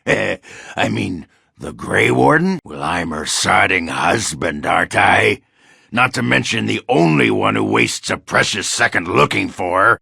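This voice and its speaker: An actor voicing a crude, hard-drinking, middle-aged dwarf warrior in a video game, gruff and raspy, blunt and sardonic. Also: male voice, low voice, sharp tone, no room sound, studio quality, full character voice. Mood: incredulous. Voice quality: gravelly, raspy. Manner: blunt, boastful, swearing, sardonic. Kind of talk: video game character dialogue.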